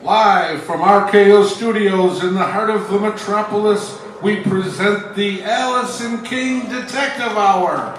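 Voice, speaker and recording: A man announces a live stage show in theatrical old-time radio style. His voice is fairly high and his delivery slow and deliberate. It reaches the mic from a distance, with clear music behind him. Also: heavy reverb.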